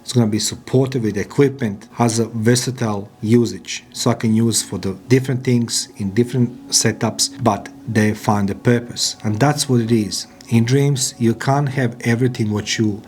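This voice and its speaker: A man's voice: mid-range voice, steady tone, normal speed, few pauses, darkish tone. A man from Croatia, giving an emphatic motivational talk in as a podcaster and business owner. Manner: emphatic, repetitive, non-native phrasing. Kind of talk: motivational monologue.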